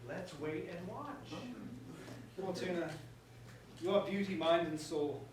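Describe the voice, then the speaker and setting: A man's voice: high-pitched, steady tone, slow, darkish tone. A man playing an ardent, courtly prince in a staged verse comedy, declaiming theatrically. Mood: tender, ardent. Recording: echoey hall, far mic, faint noise.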